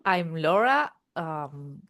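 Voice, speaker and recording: Brief: woman, deep voice, nervous halting webinar host. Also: big pitch swings, fairly bright tone. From Argentina. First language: Spanish. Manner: nervous, halting, laughs often.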